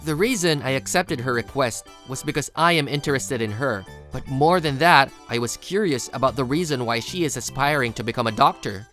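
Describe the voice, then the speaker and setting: An actor playing a studious, reserved teenage high school boy who narrates and speaks in a manga video, introspective and a little hesitant. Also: a little fast, hardly any pauses, fairly bright tone, faint music behind, close to an everyday voice. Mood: reflective.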